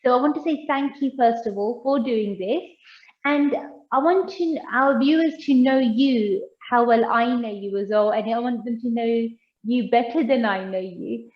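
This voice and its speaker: A woman's voice: fairly high pitch, moderate pace, roomy sound, dull audio. A young woman hosting an interview, effusive and full of praise for her guest. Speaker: host.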